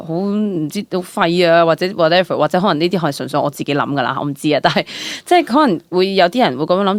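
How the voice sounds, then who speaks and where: A young woman speaks in a lowish voice with a Hong Kong Cantonese accent, recorded dry and close. She chats candidly as a guest, telling things expressively with exclamations and the odd English word. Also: dry recording. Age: young adult. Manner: animated storytelling, exclamations, mixes in English words. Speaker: interview guest, stage actor. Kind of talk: casual candid chat.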